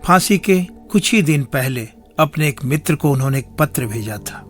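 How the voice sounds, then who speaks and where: A man's voice: fairly high pitch, expressive, normal speed, a bit bright. A man narrating a historical radio drama in a solemn, emphatic, scripted style. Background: music.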